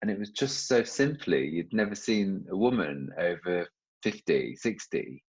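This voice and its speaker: A male interviewer with a very low, husky voice chats loosely with his guest, rambling and filling gaps with fillers. The recording is dull and lo-fi, with muffled highs. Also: animated, unhurried, a little mellow. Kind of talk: casual interview chat.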